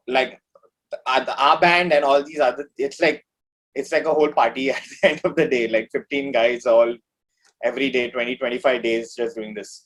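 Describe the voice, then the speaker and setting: A man's voice: mid-range voice, rough recording. A man talking informally as an interview guest, rambling along in a casual chat. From India. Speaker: metal musician, interview guest.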